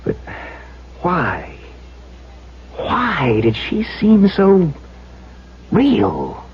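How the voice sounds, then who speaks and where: A man's voice: high voice, huge pitch swings, gravelly, slightly rough, exaggerated voice. An actor playing a troubled, earnest man who stammers and repeats himself in a 1970s radio drama. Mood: puzzled. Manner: halting, stammering, repeating words. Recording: dull, faintly hissy 1970s radio recording.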